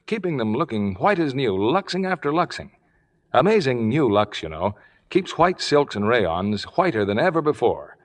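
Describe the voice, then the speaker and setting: Male vintage radio host, medium pitch, very animated sales delivery, polished. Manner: polished, promotional. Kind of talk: scripted 1950s radio announcing and sponsor pitch. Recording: old radio broadcast in reverberant hall.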